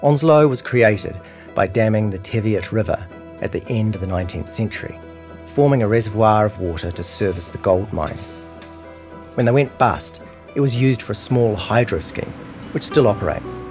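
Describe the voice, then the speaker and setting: A man's voice: lowish voice, lively intonation, moderate pace, darkish tone. A man reading a scripted longform article as narrator. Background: guitar music.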